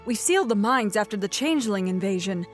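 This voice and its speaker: A woman voicing a calm, regal, motherly mare princess with measured, soothing authority, in a cartoon-style story reading. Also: medium pitch, voice pushed up, natural voice. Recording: faint music behind the voice.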